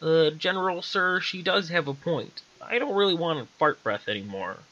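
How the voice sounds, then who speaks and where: Timid young soldier, polite and hesitant, raised bright voice acted by a man, storybook reading. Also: on the high side, lively intonation, moderate pace, dull audio, voice pushed up. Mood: timid pleading. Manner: hesitant, deferential.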